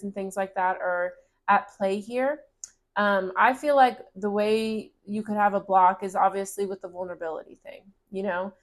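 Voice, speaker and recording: A woman giving a casual tarot reading to camera, speaking gently and encouragingly, and her voice is turning raspy. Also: mid-range voice. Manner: gentle, encouraging, reads card text aloud. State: voice getting raspy. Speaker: tarot reader.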